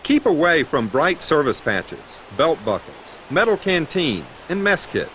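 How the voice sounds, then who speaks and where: A man with an American accent narrating a scripted training film as an instructor, methodical and clear in his delivery. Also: a little high, big pitch swings, measured pace, a bit bright. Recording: noisy 1990s training film soundtrack.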